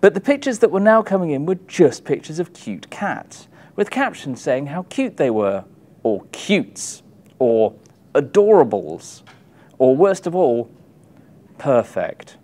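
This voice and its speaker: Wry man reading aloud with very lively pitch. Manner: wry, emphatic, dramatic pauses. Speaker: author reading from his book. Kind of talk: expressive book reading, wry comic monologue. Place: small indoor room, publisher's backdrop. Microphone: lapel mic.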